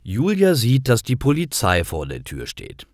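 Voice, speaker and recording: A man reading simple scripted stories aloud in a clear, deliberate manner, as a storyteller for language learners. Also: mid-range voice, wildly swooping pitch, a little mellow, no room sound, clean studio sound.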